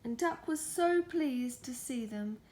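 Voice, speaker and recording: A woman reading a picture book softly in an ASMR storytime style, often slipping into a whisper and cooing to a pet. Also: sits high, lively intonation, slow pace. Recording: faint background noise.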